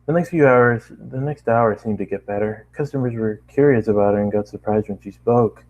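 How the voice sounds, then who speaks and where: A man's voice: lowish voice, a little fast, highs cut off, a little hiss or hum. A man narrating a first-person story as an amateur reader.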